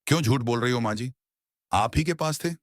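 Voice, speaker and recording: A man's voice: medium pitch, fairly quick, no echo, clean studio sound, close to an everyday voice. A man voicing a protective, righteous young brother in a cartoon moral story, speaking earnestly and admonishingly. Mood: reproachful.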